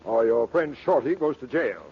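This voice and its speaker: A rich, cynical older man acted who speaks formally and expects obedience. Mid-pitched male voice from a 1940s radio play, dull and faintly noisy. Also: expressive, normal speed, lightly acted. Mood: commanding. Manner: formal, controlling. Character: imperious, cynical rich uncle used to getting his way.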